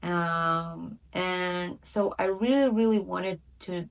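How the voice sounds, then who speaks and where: A woman's voice: fairly low pitch, takes it slowly. Chatty woman. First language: Russian. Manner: chatty, many fillers and self-corrections, light laughs. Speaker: vlogger. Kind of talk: casual personal storytelling to camera.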